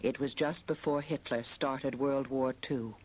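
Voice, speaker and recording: A woman from the United States narrating a scripted personal documentary as a photographer, in a measured, reflective manner. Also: very deep voice, steady tone, a little mellow, husky, rough recording. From United States, after early childhood in Australia. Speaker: photographer narrating her family history.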